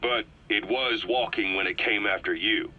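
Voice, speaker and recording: A man's voice: on the low side, fairly even intonation, moderate pace, narrow, tinny audio, natural voice. An actor playing a terse, probing male soldier who questions a prisoner in a video game. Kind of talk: video game cutscene acting.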